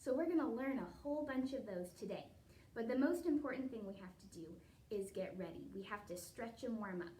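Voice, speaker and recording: A woman's voice: on the high side, expressive, fairly quick. A woman leading a children's storytime and ballet class at home, speaking in an encouraging, instructive way straight to the children. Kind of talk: storybook reading and guided stretch class for young children. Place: living room at home. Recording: roomy, distant home recording with loud music.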